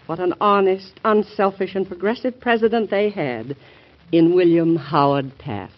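An actress playing a president's affectionate, playful wife, tender and gently teasing in classic radio drama style. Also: female voice, fairly low pitch, measured pace, natural voice. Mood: fond. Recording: dull, noisy vintage radio recording.

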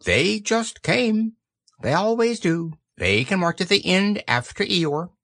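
A man plays a storybook rabbit who is self-important and dry. The voice is raised in pitch, with lively swings and a careless, offhand delivery. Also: normal speed, slightly rough, full character voice.

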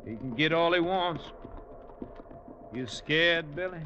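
An actor playing a wary, weary Old West outlaw in an old-time radio western, with blunt rustic phrasing. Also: male voice, a little high, takes it slowly, pushed and bright, slight background noise. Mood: wary, needling. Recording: muffled old radio recording.

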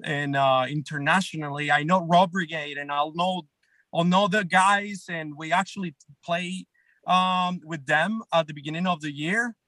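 A man's voice: fairly high pitch, normal speed, pushed and bright, no echo. A man, chatting loosely as a band guest and checking in with "right?" as he goes. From Colombia. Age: 30s. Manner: rambling, many restarts and "right?" checks. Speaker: band guitarist and songwriter guest. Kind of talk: casual interview chat.

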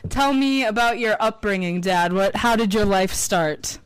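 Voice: medium pitch, unhurried, smooth, dry recording, low-quality recording. A podcast host introducing the show and interviewing their father, playful and teasing with frequent laughs. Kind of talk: casual podcast conversation.

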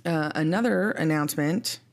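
A woman's voice: sits low, moderate pace. A woman in her thirties, co-hosting a podcast in casual chat, sounding stuffy and sniffly from a cold, chatty and laughing at her own complaints. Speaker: podcast co-host.

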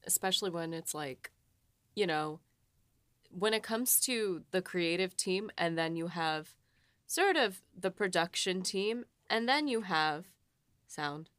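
Lady podcast host, lowish lively voice, unhurried, just chatting casually. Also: smooth, no echo, studio quality. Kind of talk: casual chat.

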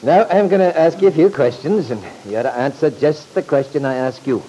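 An actor playing a patient, courteous lawyer who coaxes a witness along in an old-time radio drama. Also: male voice, a little high, a little fast, warm tone, natural voice. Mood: patient, probing. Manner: coaxing, courteous, folksy. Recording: noisy old radio recording.

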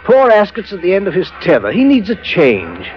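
Hearty British colonial gentleman, very animated. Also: sits high, normal speed. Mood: hearty, confiding. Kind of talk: 1940s radio drama acting. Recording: muffled vintage radio recording.

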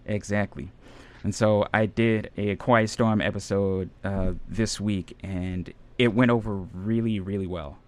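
A man who is a radio DJ and audio editor, chatting casually in a radio interview, relaxed and rambling with many fillers. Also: fairly low pitch, a little slow.

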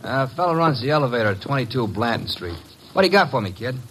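A man's voice: medium pitch, very animated, fast, a bit bright, rough recording. A brash, streetwise detective's assistant in a vintage radio mystery. He has an American accent and a mid-range voice, slangy and sardonic, over faint recording noise. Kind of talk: old-time radio detective acting and narration.

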